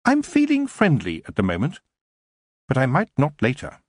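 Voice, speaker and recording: A man voicing a clever young girl witch who speaks crisply and firmly, with a husky voice. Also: a little high, huge pitch swings, moderate pace, fairly bright tone, no room sound, clean studio sound, voice pushed up, exaggerated voice. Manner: crisp, sweetly firm.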